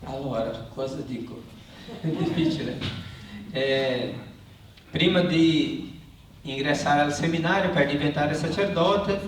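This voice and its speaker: Slow, hesitant man in echoey church. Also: a little high. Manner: hesitant, searching for words. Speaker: priest acting as interpreter. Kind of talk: halting live interpreting for a congregation. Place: large reverberant church. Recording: echoey church, distant mic, faint noise.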